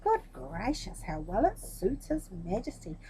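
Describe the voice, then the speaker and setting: A woman voicing gushing, admiring courtiers and townspeople in a storybook, with drawn-out exclamations of praise. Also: mid-range voice, wildly swooping pitch, dark tone, a little hiss or hum. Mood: awed admiration.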